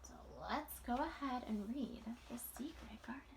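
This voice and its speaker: A woman in her thirties reads a children's storybook aloud expressively, pausing deliberately between phrases. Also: sits high, background noise. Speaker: teacher reading a storybook aloud.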